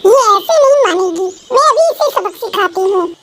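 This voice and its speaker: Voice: very high-pitched, lively intonation, normal speed, background noise. A woman voicing a spoiled rich girl bird in a children's cartoon, sneering and bossy.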